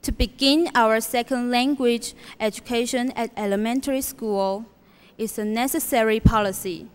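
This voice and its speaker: A teenage girl from Taiwan with a Taiwanese accent recites a prepared English contest speech in a measured way. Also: on the high side, clear, resonant tone. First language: Mandarin Chinese. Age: teens. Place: school auditorium. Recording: reverberant hall.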